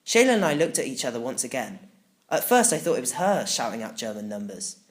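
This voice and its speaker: A man reading a children's audiobook in the first person, with expressive, emphatic scripted storytelling. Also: medium pitch, fairly quick. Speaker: audiobook narrator.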